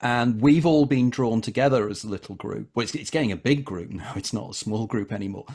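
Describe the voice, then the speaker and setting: A man's voice: lowish voice, a little fast, a little mellow, slightly rough. A man hosting an interview, telling a long personal anecdote in a discursive, conversational way. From England. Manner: discursive, recounts anecdotes at length. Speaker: interviewer, author. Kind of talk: casual interview conversation, storytelling.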